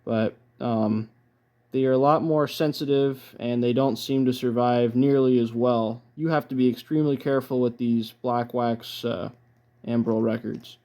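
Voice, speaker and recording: A man giving casual, low-key unboxing commentary as a collector, halting often as he thinks aloud. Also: steady tone, warm tone. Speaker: record collector presenting his haul.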